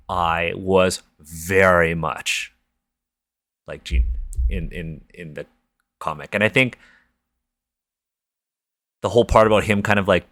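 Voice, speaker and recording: A man's voice: deep voice, bright, forceful tone, no room sound, clean studio sound. A man with a Canadian accent hosting a podcast, chatting casually in a candid, informal and reflective way. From Toronto, Canada. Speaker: podcast host.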